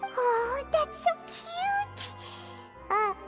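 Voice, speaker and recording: A woman voicing a small, childlike, excitable fairy companion in an anime game, squeaky and gushing. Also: extremely slow, loud music behind the voice, full character voice. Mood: curious, gushing. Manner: squeaky, gushing, talks about self by name.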